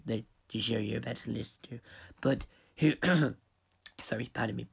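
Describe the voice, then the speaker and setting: A man's voice: mid-range voice, gravelly, slightly rough, muffled recording. A young man who owns a radio station, rambling through a pre-recorded intro while losing his voice. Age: young adult. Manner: rambling, digressive.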